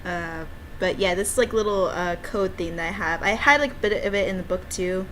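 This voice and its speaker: A woman's voice: on the low side, fairly quick, a bit bright, a little hiss or hum. A woman giving casual, playful and giggly commentary as she plays a game she made herself. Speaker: game developer playing her own game.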